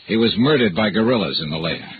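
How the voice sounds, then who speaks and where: Hard-boiled radio detective played by a man: rapid, dry, pressing, medium pitch, thin vintage sound. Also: animated, slight background noise, close to an everyday voice. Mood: probing, terse. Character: brisk, hard-boiled male insurance investigator narrating his case. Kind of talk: 1950s radio detective narration and acting. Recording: tinny old radio recording, music behind.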